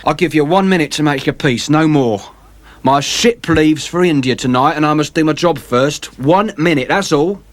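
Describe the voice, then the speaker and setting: A man voicing a gruff, vengeful sailor who speaks in a loud, growling, threatening way with rough commoner speech. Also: fairly high pitch, moderate pace, no room sound, pitched above the performer's own voice, close to an everyday voice. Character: vengeful gruff sailor, brother bent on revenge.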